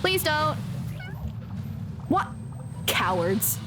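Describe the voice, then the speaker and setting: A woman chatting casually as she livestreams a game, playful and expressive, often mock-scolding. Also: high-pitched, very slow. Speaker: game streamer. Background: game music.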